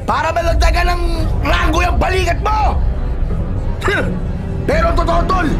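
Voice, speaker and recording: A desperate Filipino fugitive, defiant and bitter toward his younger brother. His voice is strained very high as he taunts and shouts, with dramatic music and a noisy crowd behind him. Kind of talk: Filipino radio drama acting.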